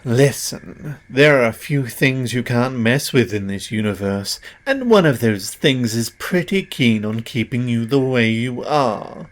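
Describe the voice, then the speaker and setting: Cocky male demon, slow, swooping delivery acted by a man. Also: mid-range voice, expressive, lightly acted. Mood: resigned, blunt. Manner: cocky, condescending, theatrical. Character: cocky, powerful male demon, condescending deal-maker. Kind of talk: scripted audio roleplay spoken directly to the listener.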